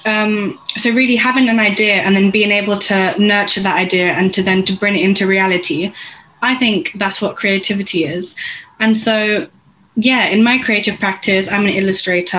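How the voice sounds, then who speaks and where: A young woman in her twenties, an illustrator being interviewed, who answers thoughtfully and lists her ideas with small pauses. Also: mid-range voice, steady tone, sharp tone, slight background noise, low-quality recording. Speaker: illustrator, podcast guest.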